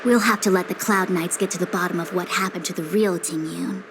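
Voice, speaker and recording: A woman's voice: fairly low pitch, steady tone, fairly quick, fairly bright tone, slight background noise. An actress voicing a stern, commanding woman leader in a game, speaking formally and with authority. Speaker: game character. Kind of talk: game dialogue voice acting.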